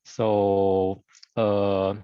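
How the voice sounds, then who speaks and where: A man from Taiwan, giving a conference talk over a video call in halting English with many fillers and restarts. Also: sits low, monotone, dark tone, smooth, dry recording, lo-fi sound. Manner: halting, hesitant with many fillers and broken grammar. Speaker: guest speaker surgeon.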